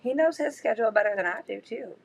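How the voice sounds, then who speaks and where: A woman's voice: on the high side, expressive, quick pace, creaky. Blunt joking woman co-host. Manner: blunt, joking, swears freely. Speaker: podcast co-host. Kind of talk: casual chat.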